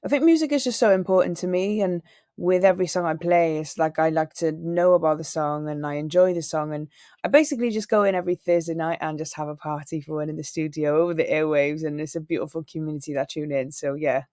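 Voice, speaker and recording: This young woman talks in a low, deep register with a dry, close sound. She tells stories in a relaxed way and acts out other people's lines. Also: fast talker, no room sound. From Wales. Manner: animated storyteller, acts out quoted people. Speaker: singer and radio presenter, interview guest. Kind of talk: relaxed interview conversation.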